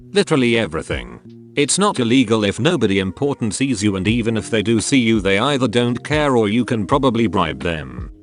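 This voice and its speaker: A man narrating forum posts aloud as a scripted read-through, with a steady, even pace. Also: fairly low pitch. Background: faint background music.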